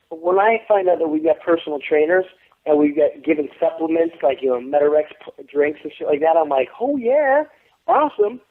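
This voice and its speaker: Profane man ranting over a phone line. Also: fairly high pitch. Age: 30s. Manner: profane, boastful, animated storytelling with quoted voices. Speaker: interview guest, actor. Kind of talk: casual phone interview.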